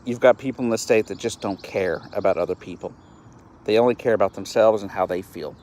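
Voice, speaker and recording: A man's voice: fairly low pitch, fairly quick, darkish tone, a little hiss or hum. Frustrated man in his thirties. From East Texas, US. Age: 30s. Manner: earnest, frustrated, repeats and restarts words. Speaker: host of a live-stream show. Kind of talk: solo political commentary live stream.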